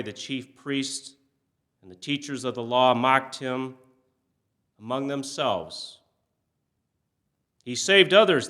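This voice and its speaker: A man reading scripture solemnly for a church service, measured and expressive, stressing quoted cries and letting phrase endings fall away softly. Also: on the high side. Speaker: scripture reader.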